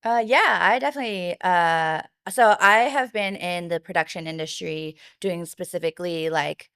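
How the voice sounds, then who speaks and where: A woman's voice: fairly low pitch, normal speed, a bit bright, smooth, dry recording, studio quality. A woman speaking casually as a guest on a podcast interview. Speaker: podcast guest, video director and producer.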